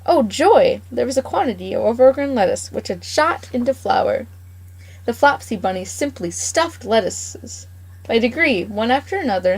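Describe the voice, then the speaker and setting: A woman's voice: medium pitch, rough recording. Woman reading a storybook aloud. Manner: gentle, expressive read-aloud with small slips and self-corrections.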